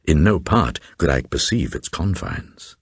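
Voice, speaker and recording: An actor playing a reclusive, haunted old man who gravely reads out his uncanny diary in a gothic radio drama, with a gravelly, husky voice. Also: rumbling low, very animated, no room sound, clean studio sound, exaggerated voice. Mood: awed, uneasy. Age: older. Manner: grave, brooding, deliberate.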